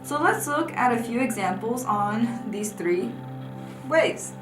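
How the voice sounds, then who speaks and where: A woman's voice: fairly high pitch, lively intonation, slow pace, echoey room, music in the background, poor audio. A young woman teaching a language lesson, patiently explaining examples one by one at a whiteboard. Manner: clear, patient explaining, often pointing at a whiteboard. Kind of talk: scripted lesson narration.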